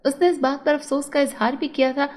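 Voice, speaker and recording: A woman with a high voice works as a storyteller. She delivers scripted narration of a moral tale. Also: level tone, a little fast, a little mellow.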